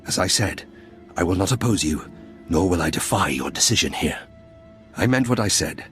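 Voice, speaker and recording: Deep-voiced, formal henchman. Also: animated, a bit bright, soft background music. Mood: resigned, polite. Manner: polite, measured, formal. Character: smooth-talking, formal henchman of a duke. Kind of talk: video game character acting.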